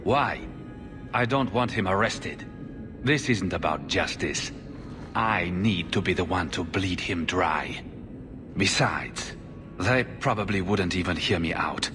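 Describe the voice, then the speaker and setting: A man's voice: deep voice, animated, slow pace, over music. A man acting a desperate, vengeful blood mage in a video game, intense, defensive and insistent. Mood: grim, determined. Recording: noisy, long-echoing hall.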